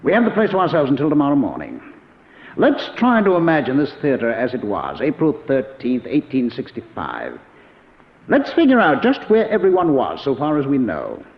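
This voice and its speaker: An actor playing an authoritative, methodical professor in an old radio drama, measured and determined. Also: male voice, mid-range voice, wildly swooping pitch. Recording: dull, reverberant vintage radio recording.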